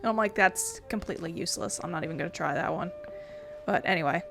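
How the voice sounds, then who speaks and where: A woman's voice: on the low side, quick pace, vocal fry, music in the background. A woman giving casual let's play commentary, rambling and playful, with the odd swear word. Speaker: gaming video host.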